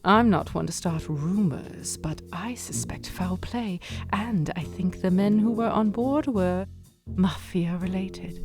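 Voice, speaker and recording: Woman playing a steely young heiress over loud music. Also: lowish voice, takes it slowly. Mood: poised, businesslike. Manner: formal, theatrical diction. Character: determined young heiress, steely would-be vigilante, cool and poised. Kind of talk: radio play acting.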